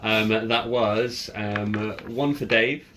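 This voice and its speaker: A man's voice: fairly low pitch, steady tone, slow pace, background noise. Casual man running a tabletop game. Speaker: game master and recorder of the podcast. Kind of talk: casual group chat.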